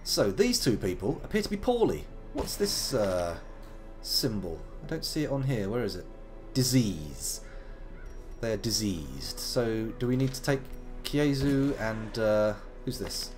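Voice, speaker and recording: A man in his thirties, gravelly from a slight cold, chatting playfully through a live game stream. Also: lowish voice, sing-song, measured pace, soft background music. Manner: chatty, playful, wandering asides. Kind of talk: casual live game commentary.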